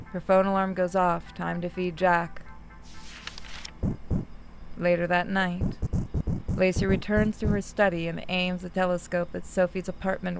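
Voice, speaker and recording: A woman's voice: fairly low pitch, gravelly, rough recording. A woman reading a horror visual novel aloud as a scripted narration, with casual asides.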